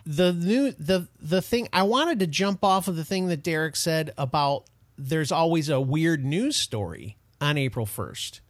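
A young man from Detroit hosting a casual podcast chat, excitable and laughing as he tells stories. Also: a little high, a little slow, few pauses, no room sound, studio quality. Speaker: podcast host.

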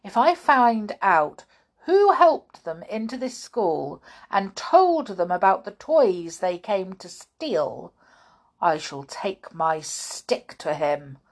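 A woman plays a menacing old bearded schoolmaster from a children's story. He thunders and growls, dragging out his threats slowly and loudly. The delivery is strict and commanding. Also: mid-range voice, big pitch swings, voice pushed up, full character voice. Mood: stern, menacing.

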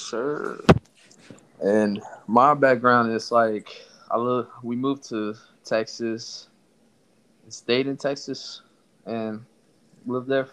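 A man's voice: medium pitch, a bit bright, rough recording. A young man from Somalia hosting a casual podcast, loose and joking with his co-host.

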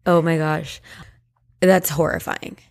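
A woman co-hosting a podcast, chatting casually and reading stories in an expressive, reassuring, conversational way. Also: low voice, slow, creaky. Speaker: podcast co-host. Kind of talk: casual paranormal podcast chat and story reading.

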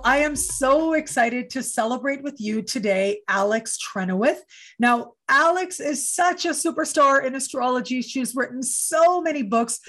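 A woman's voice: on the high side, fairly bright tone. A woman hosting an online interview show, welcoming her guest in an effusive, enthusiastic way. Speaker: host.

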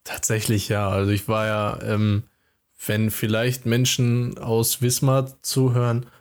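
A man's voice: on the low side, completely flat delivery, unhurried. A man chatting casually as a podcast co-host, laid-back and hesitant, with many fillers. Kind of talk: casual chat.

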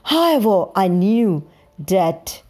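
A woman reading a scripted first-person story in a deliberate, dramatized storytelling manner. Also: mid-range voice, sing-song, a little mellow. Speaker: storyteller, podcast host.

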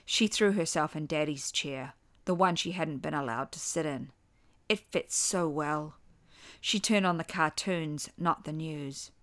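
Casting: female audiobook-style narrator, slightly low pitch, scripted dramatic storytelling. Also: unhurried, a bit bright. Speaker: author and narrator.

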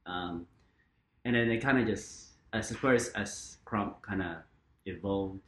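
A young man who grew up in the Philippines, a dancer chatting as a podcast guest, relaxed and rambling with lots of fillers. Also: on the low side, takes it slowly. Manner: relaxed, rambling, many fillers and quoted asides. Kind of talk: casual interview chat. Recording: roomy echo.

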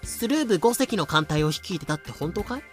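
A man's voice: high voice, expressive, brisk, soft background music. A man voicing a shrewd colonial governor in an audio drama, casual, persuasive and a little condescending. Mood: smooth, businesslike.

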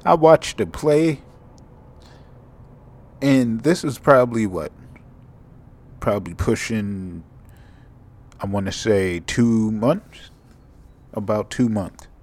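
A sleepy young man with a monotone, mumbly voice, hosting a rambling, profane solo podcast. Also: on the low side, takes it slowly, pauses often. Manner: casual, profane, drifting from thought to thought. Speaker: podcast host.

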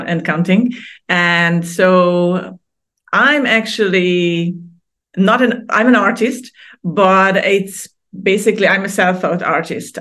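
A woman's voice: on the low side, fairly bright tone. A middle-aged woman from Hungary, a podcast guest who chats, laughs often and restarts her sentences. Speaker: guest artist. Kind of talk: casual interview conversation.

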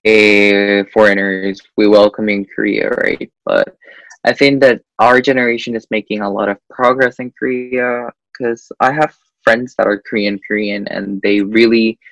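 A young man from Guatemala speaks as an interview guest, often pausing on "eh" as he thinks. His voice sits a little low, and the recording is dry and close, with no room sound. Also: gravelly, no echo, rough recording. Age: young adult. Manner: hesitant, frequent "eh" fillers, animated. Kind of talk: casual interview chat.

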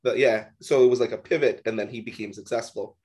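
A man's voice: mid-range voice, fairly even intonation, fast talker, a little mellow. A man co-hosting a casual business discussion, talking loosely with many "like"s. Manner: casual, heavy use of "like".

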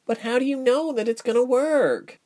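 A man's voice: very high-pitched, moderate pace, warm tone, voice pushed up. A man voicing a timid, wistful young woman prisoner in a story. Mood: wistful, doubtful.

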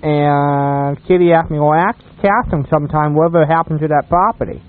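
An American man co-hosting a radio show, chatting casually and telling anecdotes in a rambling, halting way. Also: a little high, fairly even intonation. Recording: thin phone-like radio sound.

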